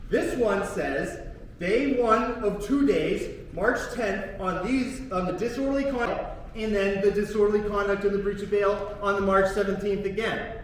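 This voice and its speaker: A man standing trial in a courtroom, angry and profane, often shouting and swearing at the court. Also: high voice, moderate pace, slight background noise. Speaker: defendant. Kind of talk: courtroom outburst. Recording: echoey courtroom with long reverb.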